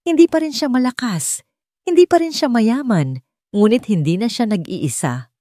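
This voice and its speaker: A woman's voice: on the high side, lively intonation, moderate pace, mellow, no echo, studio quality. A woman narrating a scripted dramatic story as an audiobook reader.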